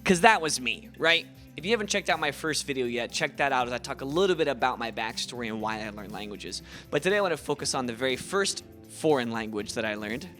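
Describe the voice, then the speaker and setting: A young man with an Ohio accent hosts a casual video lesson, talking in a chatty, encouraging way. His voice is bright and sits at a middling pitch. Faint music plays under his voice. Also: expressive, fast talker, hardly any pauses. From Ohio, USA. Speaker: language teacher, channel host.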